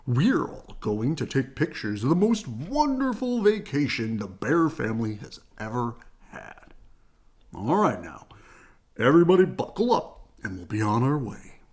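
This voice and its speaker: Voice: medium pitch, very animated. Storyteller putting on hearty, overconfident papa bear. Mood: generous. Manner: grand, enthusiastic, drawn-out dreamy descriptions.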